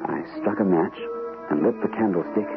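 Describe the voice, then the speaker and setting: A man's voice: low voice, moderate pace, vocal fry, music in the background. An actor playing a haunted army captain narrating his ordeal with suspense in a vintage radio drama. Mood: tense suspense. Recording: narrow vintage radio recording.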